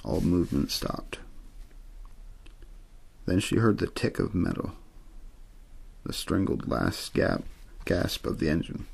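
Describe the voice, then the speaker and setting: A man reading a story aloud as an amateur ASMR narrator, in a low-key, untheatrical way with the odd stumble. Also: sing-song, slow pace, gravelly, pauses often, husky. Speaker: amateur audiobook reader.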